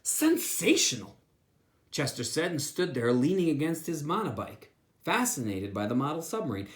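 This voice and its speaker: Expressive male pastor reading aloud. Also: a little high. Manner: expressive storytelling with asides to listeners. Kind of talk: reading a children's book aloud.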